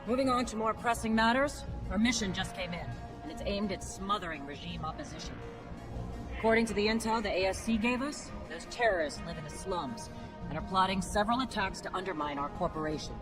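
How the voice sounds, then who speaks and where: A woman's voice: medium pitch, fairly quick, lightly acted, rough recording. Woman playing a stern, commanding military captain. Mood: formal, commanding. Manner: authoritative, clipped, giving orders. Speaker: military commander briefing soldiers. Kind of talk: video game cutscene acting. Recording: music behind the voice.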